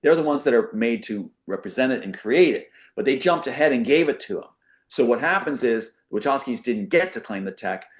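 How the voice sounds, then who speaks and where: A man's voice: medium pitch, expressive, fairly quick. Male guest, mid-range voice, casual rambling explainer, emphatic delivery. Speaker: talk show guest. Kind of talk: casual conspiracy monologue.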